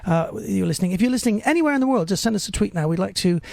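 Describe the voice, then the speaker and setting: A man's voice: sits high, expressive, racing, creaky, dry recording. A man hosting a radio show in a studio, chatting casually with his guests, laughing often and restarting his sentences. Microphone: studio microphone.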